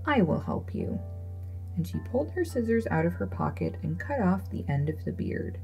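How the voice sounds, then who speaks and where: Low, creaky-voiced woman storyteller. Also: very animated, brisk, warm tone, soft background music, rough recording. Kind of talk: scripted fairy tale narration. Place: voice-over with music behind.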